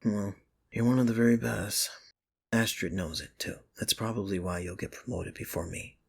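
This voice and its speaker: A man's voice: lowish voice, normal speed, vocal fry, darkish tone, breathy edge. A man playing a sardonic but secretly caring office rival in an intimate ASMR romance roleplay, with dry, earnest delivery. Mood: earnest, admiring. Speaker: ASMR voice actor.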